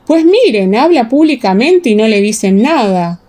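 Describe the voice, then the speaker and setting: A woman's voice: on the high side, very animated, normal speed, warm tone. A woman voicing a wondering townsperson of ancient times in a Bible radio play, questioning and theatrical. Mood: puzzled.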